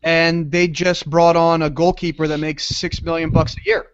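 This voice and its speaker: High-voiced man hosting a podcast. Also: level tone, moderate pace, smooth. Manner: loose, rambling, trailing off. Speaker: podcast host. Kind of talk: casual podcast chat. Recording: dull lo-fi podcast audio.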